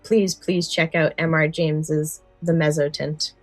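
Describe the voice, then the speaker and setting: A woman's voice: sits low, little pitch movement, unhurried, no room sound, faint music behind. A woman co-hosting a podcast, chatting casually with effusive enthusiasm. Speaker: podcast co-host. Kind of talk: casual chat about scary stories.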